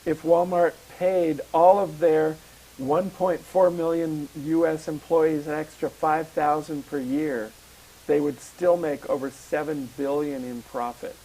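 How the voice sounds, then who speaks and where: A man co-hosting an activist talk show, hoarse from a cold, speaking casually with many pauses and fillers and reading facts aloud. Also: fairly high pitch, little pitch movement, mellow, rough recording. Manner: hesitant, many ums, reads facts from a card. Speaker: public access show co-host.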